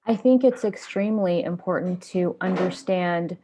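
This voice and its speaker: Woman, English speaker, lower voice, thoughtful, restarts words, interview guest. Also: moderate pace, background noise. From grew up in Japan and Indonesia. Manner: thoughtful, repeats words as she thinks. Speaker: interview guest, community activist. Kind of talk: casual interview talk.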